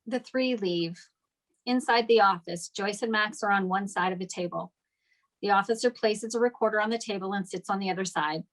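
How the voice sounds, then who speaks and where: A woman's voice: mid-range voice, normal speed. A woman narrator reading scripted stage directions at a screenplay table read.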